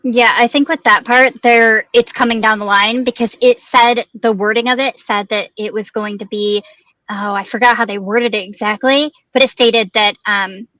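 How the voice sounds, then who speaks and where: A woman's voice: fairly high pitch, fairly even intonation, moderate pace, bright, forceful tone, dry recording, poor audio. A woman talking casually through a news case on a true crime stream, explaining things in a tentative way. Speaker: guest commentator on a true crime livestream.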